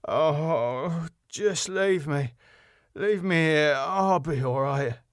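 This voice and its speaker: A man's voice: fairly high pitch, drawn-out words, clean tone, dry recording, clean studio sound, voice pushed up. A man voicing a maudlin, self-pitying drunk in a sitcom, sentimental and feeble. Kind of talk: sitcom script read aloud.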